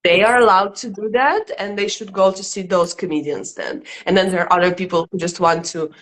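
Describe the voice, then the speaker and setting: A woman's voice: mid-range voice, fairly even intonation, fairly quick, fairly bright tone, a little hiss or hum, low-quality recording. Russian-accented woman, dry and irreverent. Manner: dry, irreverent, joking asides. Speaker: stand-up comedian, guest. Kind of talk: casual interview chat.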